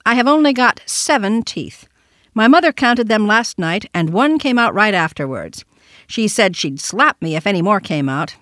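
Narrator doing a sharp, high-pitched little boy. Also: big pitch swings, moderate pace, no room sound, clean studio sound. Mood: complaining. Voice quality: hard, sharp, immature voice. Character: sharp-voiced young American boy, cheeky, whiny, boastful.

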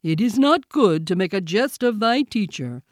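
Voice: mid-range voice, expressive, moderate pace, no room sound, clean studio sound, voice pushed up, lightly acted. A man voicing a stern but fond old bear teacher in a storybook, speaking in a severe, proper manner.

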